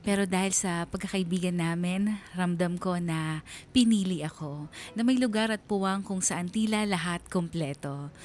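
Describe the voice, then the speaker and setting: A woman playing a young letter writer narrating her painful story in a measured, reflective way for a radio drama. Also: on the low side, a little mellow, a little hiss or hum, natural voice. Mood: reflective, sorrowful.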